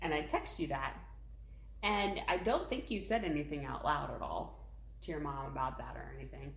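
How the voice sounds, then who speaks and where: A woman's voice: deep voice, moderate pace, vocal fry, a little hiss or hum. Chatty woman in an echoey room. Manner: chatty, many "like" fillers, laughs often. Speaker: podcast co-host, mother. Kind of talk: casual chat.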